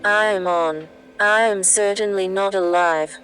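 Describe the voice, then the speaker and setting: A woman voicing a deadpan, formal, needling train machine in a science fiction audio story. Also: medium pitch, unhurried, noisy background, lightly acted. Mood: flat, literal. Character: deadpan non-sentient train machine, female-voiced, needling.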